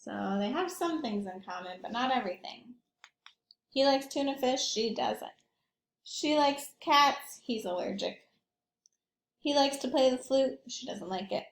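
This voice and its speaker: A woman's voice: sits high, lively intonation, measured pace, echoey room. A woman reading a picture book aloud to young children, expressively, adding simple asides as she goes. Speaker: early childhood read-aloud storyteller.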